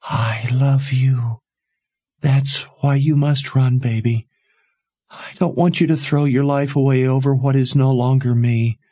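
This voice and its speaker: A man voicing a dying mother, soft and whispery. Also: medium pitch, fairly even intonation, unhurried, no room sound, muffled recording, pitched above the performer's own voice, lightly acted. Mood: tender. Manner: soft, breathy, whispered urging. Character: dying gentle mother, tender and urgent.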